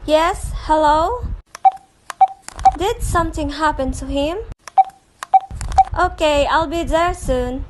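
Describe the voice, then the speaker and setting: A woman's voice: sits high, takes it slowly, pauses often, very noisy. A woman giving a scripted dramatic reading for a fan comic dub, voicing the dialogue and narration herself.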